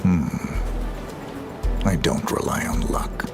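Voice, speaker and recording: An actor playing a cold professional assassin in a game cutscene, terse and deadpan. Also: male voice, extremely low pitch, takes it slowly, creaky, music in the background, full character voice. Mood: cold, flat. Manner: clipped, deadpan. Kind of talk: cinematic game cutscene dialogue.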